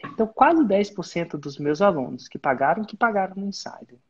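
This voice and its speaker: A man's voice: high voice, expressive, fairly quick, husky, highs cut off. A man hosting a live coaching session, giving direct, instructive advice to students. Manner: direct, instructive, uses sports-belt metaphors. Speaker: business mentor and host.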